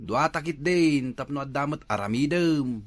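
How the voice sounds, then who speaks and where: Male, animated and slightly high-voiced, acting a teasing, nagging rural husband in a comedy skit. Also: normal speed, lightly acted. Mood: matter-of-fact. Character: nagging, teasing husband working at planting crops. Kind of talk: comedy skit dialogue in Ilocano.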